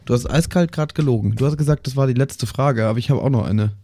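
A man's voice: medium pitch, fast talker, mellow, no echo, clean studio sound. A man co-hosting a podcast, bantering with friends in a teasing, slangy way. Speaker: podcast co-host. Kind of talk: casual banter among friends.

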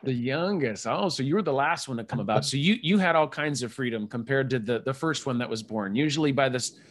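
A man's voice: mid-range voice, expressive, quick pace. A man hosting a podcast, chatting casually and upbeat with his guest, full of asides. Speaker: podcast host. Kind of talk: casual interview chat.

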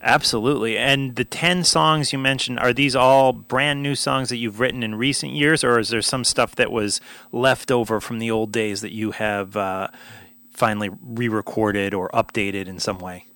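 Gravelly, bright-toned male interviewer. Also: medium pitch, steady tone, moderate pace, dry recording. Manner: eager, short affirmations.

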